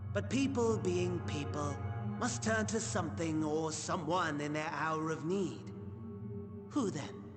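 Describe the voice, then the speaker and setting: Sneering game villain, high voice, slow drawl, score behind. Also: male voice. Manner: sneering, theatrical, playful mockery. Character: gleeful mocking male villain, theatrical schemer. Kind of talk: video game cutscene acting. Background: orchestral game score.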